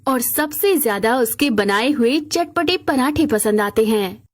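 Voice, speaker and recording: Woman narrator, high voice, scripted moral tale, storytelling delivery. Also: expressive, a little slow.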